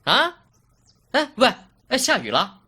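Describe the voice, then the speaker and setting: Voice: mid-range voice, wildly swooping pitch, slow, pushed and bright, slight background noise, heavily acted. A performer playing a mouthy, petulant young fox-spirit boy in a Chinese audio drama, scolding and cocky. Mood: indignant.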